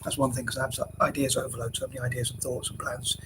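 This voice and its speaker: A man's voice: mid-range voice, little pitch movement, quick pace. A young man with a cold, talking to camera in a rambling way with many fillers and restarts. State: has a cold. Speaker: vlogger. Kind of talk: casual talk to camera. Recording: noisy background hiss.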